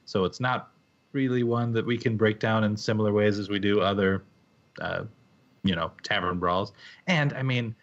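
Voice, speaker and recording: A man co-hosting a podcast in a low, easygoing voice. He talks slowly and casually, pausing with ums and restarting his phrases. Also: highs cut off. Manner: relaxed, hesitant, lots of ums. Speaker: podcast co-host.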